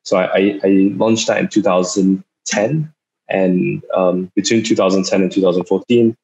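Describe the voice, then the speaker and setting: A young man in his twenties from Singapore, a startup founder giving an online talk, speaking haltingly with many fillers and repeated words. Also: sits low, steady tone, darkish tone, rough recording. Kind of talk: online talk over a video call. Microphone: video call.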